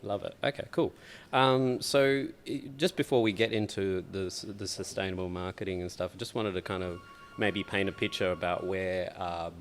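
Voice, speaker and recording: A man's voice: deep voice, fairly even intonation, normal speed. A man hosting a podcast interview, talking casually with lots of fillers and loose phrasing. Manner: casual, rambling, with many fillers. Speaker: podcast host.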